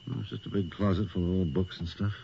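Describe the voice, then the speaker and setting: Casting: a weary, skeptical police detective, dry and dismissive, for noisy vintage radio horror. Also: male voice, deep voice, fairly even intonation, quick pace, creaky, mellow. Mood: impatient, dismissive. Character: world-weary, gruff, skeptical veteran male police detective. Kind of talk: 1980s radio horror drama acting. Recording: dull, noisy old radio recording.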